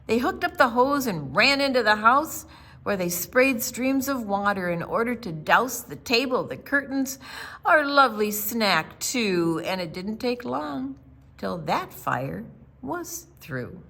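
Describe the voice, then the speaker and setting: A woman reading a rhyming children's picture book aloud in an expressive, theatrical storytime style, with dramatic pauses and emphasis. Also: a little high, vocal fry.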